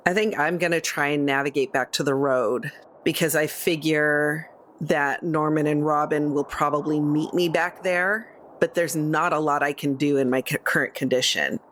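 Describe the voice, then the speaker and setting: A woman's voice: low-pitched, fairly even intonation, measured pace, fairly bright tone. Woman player talking over some background noise. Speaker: tabletop game player. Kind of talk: casual game-table chat.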